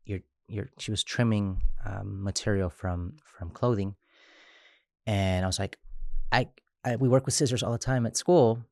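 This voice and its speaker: Man, thirties, from Mexico, organizer, halting restarts, low-ish pitch, gravelly. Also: darkish tone, dry recording, clean studio sound. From Chiapas, Mexico. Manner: halting, many restarts and "right?" tags. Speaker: immigrant rights organizer. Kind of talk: interview conversation.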